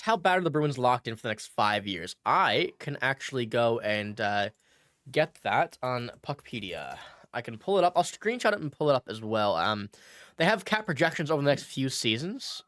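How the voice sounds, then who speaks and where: A man's voice: mid-range voice, a bit bright, no room sound, clean studio sound. A man hosting a casual livestream, chatting and answering viewer comments. He is a bit sick, so he sounds slightly nasal. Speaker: sports channel host.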